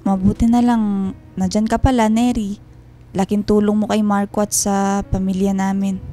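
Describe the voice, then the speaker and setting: A woman's voice: mid-range voice, level tone, moderate pace, warm tone, natural voice. An actress playing a homesick, uneasy wife and mother in a radio drama. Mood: guarded, suspicious. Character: worried, homesick wife and mother working abroad, quietly suspicious. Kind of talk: Filipino radio drama acting. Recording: music behind the voice.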